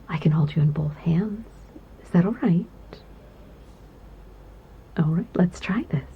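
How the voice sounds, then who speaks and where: A woman playing a gentle giantess secretary in an ASMR roleplay, doting and coy, with a soft, breathy voice that often slips toward a whisper. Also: lowish voice, lo-fi sound, heavily acted. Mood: gentle, reassuring, then doting, teasing. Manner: tender, coy, hesitant, giggly asides. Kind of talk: ASMR roleplay, intimate one-sided conversation.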